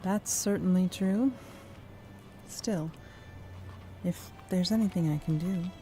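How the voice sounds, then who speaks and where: A woman's voice: fairly low pitch, slow pace, mellow, faint music behind. An actress playing a tender, secretive woman professor with a flowery, effusive way of talking in an audio drama. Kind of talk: naturalistic audio drama acting.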